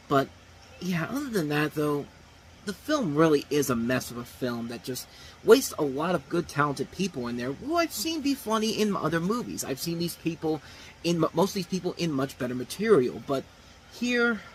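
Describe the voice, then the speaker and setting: A man's voice: fairly high pitch, big pitch swings, a little fast, a little hiss or hum, rough recording. A man in his thirties casually reviewing movies as a host, talking off the cuff with frequent restarts and repeated phrases. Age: 30s. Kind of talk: casual unscripted review talk.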